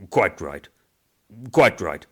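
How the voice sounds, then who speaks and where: Guy doing a big important boss in an audiobook, deep voice, firm n curt, unhurried. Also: sing-song, bright, forceful tone, heavily acted. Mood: hearty. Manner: curt, commanding. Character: stout, self-important boss, firm and brooding. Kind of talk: short story character voice.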